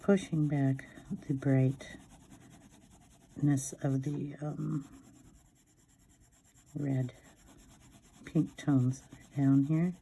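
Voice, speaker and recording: Low, very mellow woman murmuring. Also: level tone, extremely slow, vocal fry, pauses often, husky, low-quality recording. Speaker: craft tutorial host. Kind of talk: casual coloring demonstration, thinking aloud.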